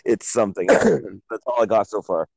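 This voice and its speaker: A man's voice: on the low side, lively intonation, moderate pace, vocal fry, background noise. A man with a Canadian accent chatting casually and bluntly as a guest on a radio call-in show. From Ontario, Canada. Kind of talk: casual opinionated chat.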